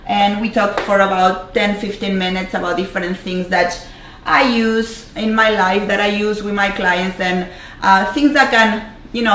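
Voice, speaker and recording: A woman's voice: mid-range voice, a bit bright, reverberant, a little hiss or hum. A woman from Argentina with a strong Argentine Spanish accent, giving an earnest motivational talk as a life coach on a livestream, with lots of fillers and repeated words. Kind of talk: motivational Facebook Live talk. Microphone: camera mic on a livestream.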